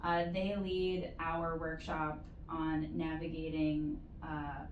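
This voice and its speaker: A woman giving measured, explanatory advice as an interview guest, in a conversational way with stretched emphasis. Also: low-pitched, flat delivery, highs cut off. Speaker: interview guest, university postdoctoral support staff. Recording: roomy echo, distant mic, faint noise.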